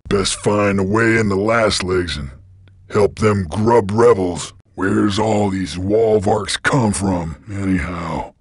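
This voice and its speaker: Monstrous bounty hunter, extremely low raspy voice, slow Western American drawl, laconic folksy loner, video game character. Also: male voice, no room sound, studio quality, full character voice. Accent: Western American cowboy drawl. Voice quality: gravelly, raspy.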